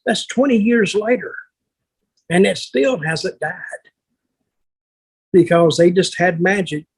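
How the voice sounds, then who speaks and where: An older man with a husky, raspy voice that sits a little high. He tells anecdotes casually, like a veteran on a podcast, blunt and salty, slipping into remembered exchanges. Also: slow. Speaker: veteran wrestler telling stories on a podcast.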